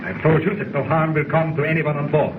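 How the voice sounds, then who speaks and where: A man's voice: fairly high pitch, steady tone, a little fast, lightly acted. A man acts out a smug German spy commander in a 1940s radio serial. He is coldly courteous with menace underneath. The recording is tinny and buried in heavy noise. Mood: menacing. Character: smug cold villainous foreign spy leader commanding a captured submarine. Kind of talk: 1940s radio drama acting.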